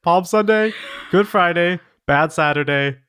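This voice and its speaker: A man's voice: high voice, unhurried, a bit bright, smooth, no room sound, clean studio sound. A man co-hosting a podcast, reading listener stories aloud and chatting casually with joking asides. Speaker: podcast co-host.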